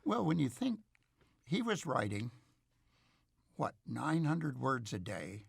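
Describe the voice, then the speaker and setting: A man with a very gravelly, rough-edged voice talks as an expert guest in an interview. His phrasing is measured, halting and scholarly, and the sound is dry with little room. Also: medium pitch, sing-song, no echo. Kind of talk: reflective interview discussion.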